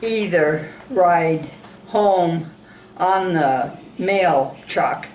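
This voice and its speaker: A woman's voice: on the low side, extremely slow, darkish tone, echoey room, a little hiss or hum. A woman from South Dakota sharing childhood memories in a family interview, plain-spoken and rambling, recorded in a room of a ranch home. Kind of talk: reminiscing in an interview.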